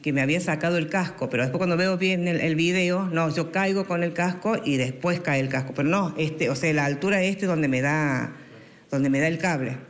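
A woman in her forties with an Argentine accent, recounting her accident haltingly at home. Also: low-pitched. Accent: Argentine Spanish. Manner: hesitant, with fillers. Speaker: accident victim interviewed. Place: indoors at home.

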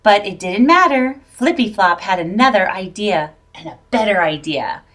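Animated middle-aged woman reading a picture book. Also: mid-range voice. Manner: animated, drawn-out, dramatic delivery for young children. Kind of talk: expressive children's read-aloud. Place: plain-walled indoor room. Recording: voice picked up from a distance.

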